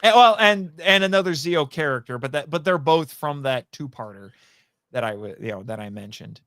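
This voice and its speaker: Clear, higher-pitched man chatting animatedly. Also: lively intonation, moderate pace, sharp tone, no room sound, clean studio sound. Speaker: guest, audio drama writer and voice actor. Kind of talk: casual fan chat.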